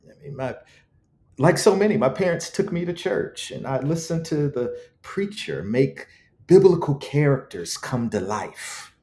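A man's voice: medium pitch, very animated, unhurried, warm tone. A man speaking as an interview guest, reflective and eloquent, with emphatic, preacherly storytelling and rhetorical repetition. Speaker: guest author.

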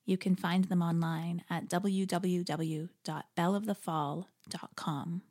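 A woman hosting a podcast, delivering a reflective scripted monologue in an earnest, measured, articulate way. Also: fairly low pitch, fairly even intonation. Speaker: podcast host; interfaith leader.

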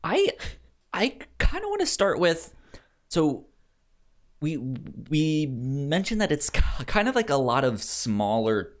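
A man hosting a podcast, chatting casually about a film in a halting, stammering way that often repeats words. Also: on the high side, huge pitch swings. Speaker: podcast host.

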